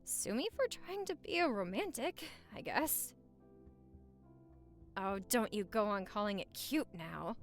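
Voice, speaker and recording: A woman's voice: mid-range voice, lively intonation, unhurried, soft background music. A woman acting a playful, lovestruck newlywed wife in a romantic audio roleplay, teasing and flirting in intimate, easily flustered banter.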